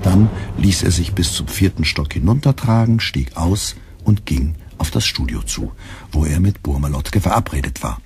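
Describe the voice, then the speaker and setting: A man's voice: a bass voice, expressive, moderate pace, a little mellow, a little hiss or hum. A man narrating a literary radio play in a dry, ironic storytelling manner.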